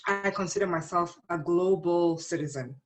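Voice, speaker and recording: A middle-aged woman, speaking as an interview guest in a measured, explanatory and reflective way. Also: lowish voice. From born in Uganda, grew up in South Africa. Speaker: interview guest, psychologist and teacher.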